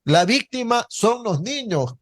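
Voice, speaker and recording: Male radio interviewer, high voice, dry close sound, formal and measured, poses pointed questions with emphatic repetition. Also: sing-song, dry recording.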